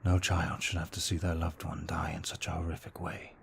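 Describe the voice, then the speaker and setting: A man playing a gentle, remorseful vampire in an ASMR roleplay, speaking slowly and formally in a soft, breathy near-whisper. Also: low voice, monotone, a little hiss or hum. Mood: haunted, sorrowful. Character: brooding remorseful male vampire, gentle and protective. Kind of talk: ASMR roleplay, scripted monologue.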